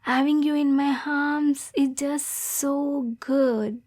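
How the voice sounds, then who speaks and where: High-pitched, breathy yandere demon girl, acted by a woman. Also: fairly even intonation, slow, darkish tone. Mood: smitten. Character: lonely, lovesick yandere demon girl, nervous yet possessive. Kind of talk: intimate ASMR roleplay acting.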